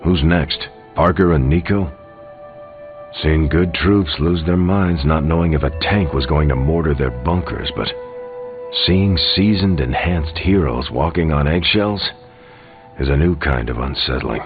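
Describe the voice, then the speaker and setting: Very deep, grim veteran hero. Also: male voice, a little slow, phone-quality sound. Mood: uneasy, grim. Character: battle-hardened male military veteran superhero, grave and watchful. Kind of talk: video game voice acting. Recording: band-limited game audio under music.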